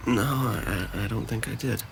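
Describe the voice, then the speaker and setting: Low-voiced, hesitant, depressed young man, acted. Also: creaky, slight background noise. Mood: apologetic, subdued. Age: young adult. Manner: hesitant, halting, subdued. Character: depressed, self-blaming young man ending a summer romance. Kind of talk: naturalistic dramatic dialogue.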